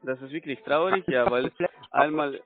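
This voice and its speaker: Halting foreign-accented man on a tinny phone line. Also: mid-range voice. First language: not German. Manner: defensive, halting, broken grammar. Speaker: call center scammer.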